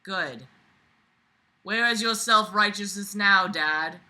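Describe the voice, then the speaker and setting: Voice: mid-range voice, slow pace, sharp tone. A reader going through a book aloud with sarcastic running commentary, exasperated, blunt and prone to swearing.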